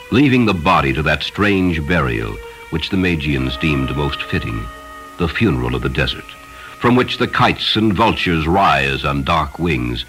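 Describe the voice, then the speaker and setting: A man with an extremely low voice narrates a story in a solemn, dramatic style. His pitch moves widely as he shapes each phrase. Faint music plays behind him. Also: a bit bright, poor audio. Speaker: audiobook narrator.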